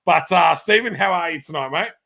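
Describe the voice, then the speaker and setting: An Australian man co-hosting a casual live-stream chat, hoarse and croaky, laid-back and laughing with many fillers. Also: fairly high pitch, expressive, fairly quick, pushed and bright. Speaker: podcast co-host.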